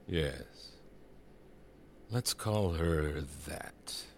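A man playing a smug, manipulative disgraced senator in an audio drama, condescending, deliberate and insinuating. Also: extremely low pitch, darkish tone, heavily acted. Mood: cold, probing.

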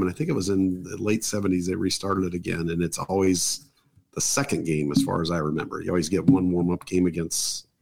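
A middle-aged man with a hoarse voice, a guest chatting casually and rambling with many fillers and restarts. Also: low-pitched. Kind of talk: casual chat. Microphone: new microphone on a table.

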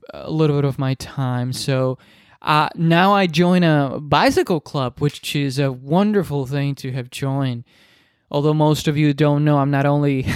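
A man's voice: mid-range voice, a little mellow, smooth, no echo, studio quality. A man in his twenties whose first language is Spanish, hosting a solo podcast in earnest, reflective talk with many restarts and fillers. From Dominican Republic. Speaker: podcast host.

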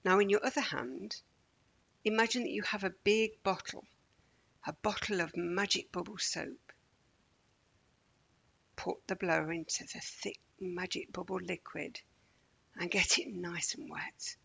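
Breathy, creaky-voiced woman guiding meditation. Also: lowish voice, fairly even intonation. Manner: gentle, measured, deliberate pauses. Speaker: meditation guide. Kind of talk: guided relaxation for children, slow and soothing.

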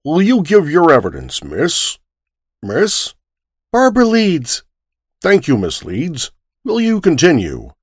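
A man's voice: on the high side, huge pitch swings, slow, breathy edge, dry recording, clean studio sound, voice pushed up, heavily acted. A man reading aloud voices a courteous male committee member who speaks formally.

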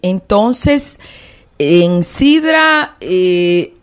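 A woman with a Puerto Rican accent hosting a podcast interview, lively and quick to react. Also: on the low side, clean tone. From Puerto Rico. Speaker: podcast host. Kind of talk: conversational interview.

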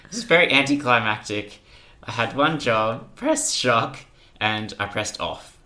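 A man's voice: mid-range voice, expressive, unhurried, sharp tone. A young man, telling anecdotes casually as a podcast guest. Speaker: podcast guest, junior doctor.

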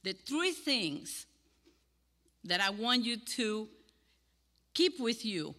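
An older woman from Cuba with a Cuban Spanish accent, giving an earnest sermon to a congregation and pausing between phrases. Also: fairly high pitch, big pitch swings, sharp tone. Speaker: guest speaker. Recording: hall with long reverb.

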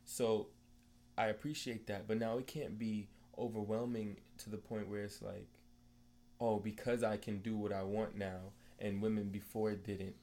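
A man's voice: on the low side, little pitch movement, normal speed, mellow. A man in his twenties sharing opinions casually in a group discussion, with background noise in the recording. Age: 20s. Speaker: discussion panelist.

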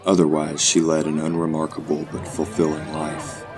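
Super deep guy reading a horror story, music going behind him. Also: completely flat delivery, moderate pace, a crowd in the background. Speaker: narrator. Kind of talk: scripted horror story narration.

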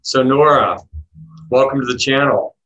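A man's voice: medium pitch, normal speed, a bit bright, highs cut off. A man hosting an interview podcast, introducing a guest and asking upbeat questions. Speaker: podcast host and lawyer.

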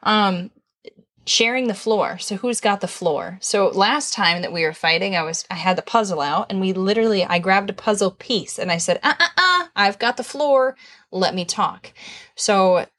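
A woman's voice: medium pitch, animated, normal speed, a bit bright. A woman co-hosting a relationship advice talk with her husband, chatty and playful, using lots of fillers. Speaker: podcast co-host. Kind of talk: casual conversational advice.

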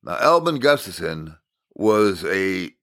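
An American man from Minnesota giving an informal sermon as a Bible teacher, telling stories in deliberate, halting phrases with emphatic repetition. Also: deep voice, big pitch swings, a bit bright. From Minnesota, United States.

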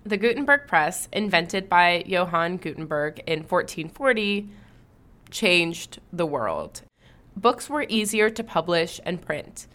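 A woman hosting a history podcast, reading scripted narration in a clear storytelling manner and bantering lightly with her co-host. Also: on the low side, animated, slow, a bit bright.

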